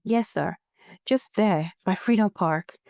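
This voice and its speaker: A woman's voice: mid-range voice, takes it slowly, creaky, darkish tone, no room sound. A woman plays a spoiled, scheming young man from a rich family in a told story. He pleads in a breathless, overdramatic way, putting on fear like a victim. The sound is dry and close. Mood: feigned panic.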